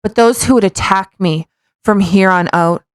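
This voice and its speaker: A woman's voice: mid-range voice, moderate pace, clear, resonant tone, dry recording. A woman co-hosting a podcast, doing a casual dramatic read-aloud with playful, mocking, sweary asides. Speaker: podcast co-host.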